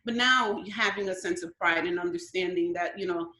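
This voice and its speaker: A woman raised in Brooklyn whose was Spanish, speaking earnestly on a video call and pausing to search for words. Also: fairly low pitch, pushed and bright, echoey room, a little hiss or hum. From Brooklyn, New York. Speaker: guest.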